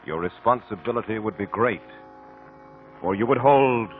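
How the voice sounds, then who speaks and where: A man's voice: fairly low pitch, moderate pace, faint music behind. A man announcing and narrating for 1950s radio in a formal, dramatic, scripted style with emphatic phrasing. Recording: thin lo-fi 1950 radio broadcast.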